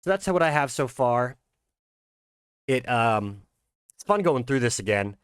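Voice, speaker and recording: A man's voice: mid-range voice, lively intonation, smooth, no echo. Male indie creator, informal and hesitant, recorded dry. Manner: hesitant, halting. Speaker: fan game creator. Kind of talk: casual commentary.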